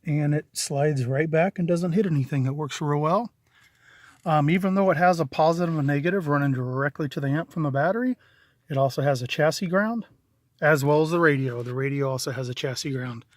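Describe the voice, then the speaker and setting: A man's voice: on the high side, fairly quick, dry recording. A middle-aged man giving a casual video walkthrough as a DIY installer, talking in a plain-spoken, matter-of-fact, folksy way. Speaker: DIY installer presenting own work. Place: in and around a parked vehicle.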